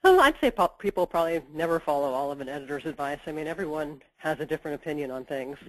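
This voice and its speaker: A woman's voice: sits low, lively intonation, fast talker, no echo, rough recording. A woman editor with a head cold, giving practical explanations in a webinar interview and often saying "you know". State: stuffed up with a cold. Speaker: book editor.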